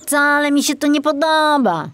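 A woman's voice: sits high, lively intonation, unhurried, a bit bright, pitched above the performer's own voice. A woman voicing a small male forest sprite in a storybook, formal, polite and touchy, given to admonishing. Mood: indignant.

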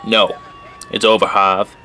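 A man's voice: lowish voice, flat delivery, unhurried, slight background noise. A man playing an angry, world-weary police officer, embittered and resolute, in a comic-book radio drama. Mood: bitter. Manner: forceful, embittered.

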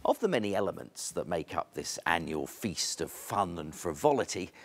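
A man in his fifties presents a scripted piece to camera. His voice is deep, and his intonation swings widely and livelily. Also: big pitch swings, normal speed, soft background music. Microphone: lapel mic.

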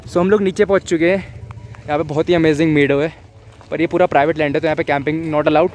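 A man's voice: fairly high pitch, fairly even intonation, fast talker, background noise. A young man, vlogging as he cycles outdoors on mountain roads, chatting to viewers and giving tips, mixing in English words. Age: young adult. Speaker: cycling vlogger. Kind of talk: casual vlog narration. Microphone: phone or action camera at arm's length.

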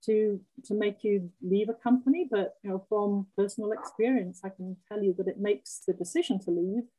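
A middle-aged woman speaking reflectively on a webcam panel, pausing and restarting her sentences. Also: medium pitch, warm tone, breathy edge, rough recording. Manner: reflective, halting with repeated restarts. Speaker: panelist geologist. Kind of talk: panel discussion on a video call. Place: home room with bookshelves. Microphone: video call webcam.

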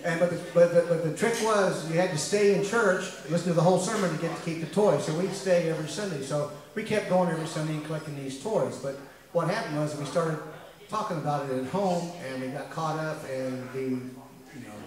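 A man's voice: a little high, brisk. An American man from West Virginia, a singer-songwriter, tells a rambling, wryly humorous story in a casual way from a small pub stage. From West Virginia, United States. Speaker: singer-songwriter, live performer. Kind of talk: casual storytelling between songs. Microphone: far camera, amplified stage voice. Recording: echoey live room, distant camera microphone.

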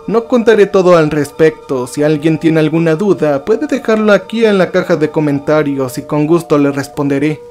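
A man reading a first-person horror confession as scripted narration, in a measured, confessional manner. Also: fairly high pitch, darkish tone. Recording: faint music behind the voice.

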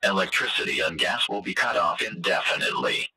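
A man's voice: sits low, lively intonation, fairly quick, sharp tone, husky, exaggerated voice. A man playing a grim, cold government emergency announcer, formal and solemn, in a scripted broadcast with a radio filter. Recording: harsh, distant radio-style broadcast sound.

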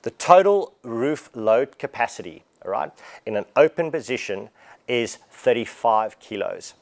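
Guy, 30s, relaxed explainer for a gear walkthrough in a warehouse. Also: medium pitch, a little slow, vocal fry. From Australia. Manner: casual, explanatory, lively. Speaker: product presenter. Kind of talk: product walkthrough demo. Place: large warehouse showroom indoors.

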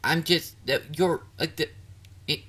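A man's voice: on the high side, measured pace, sharp tone, slightly rough, heavily acted. A man playing a bubbly, bashful catboy boyfriend in an intimate roleplay audio drama, dorky and easily flustered. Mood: flustered, pouting. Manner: playful, dorky, flustered, hesitant. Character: bubbly, bashful young male catboy partner.

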